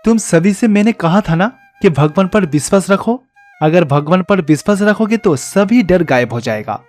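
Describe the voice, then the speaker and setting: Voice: mid-range voice, expressive, moderate pace, darkish tone, soft background music, natural voice. An actor voicing a kindly male teacher, a god in disguise, patiently advising and encouraging children. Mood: concerned.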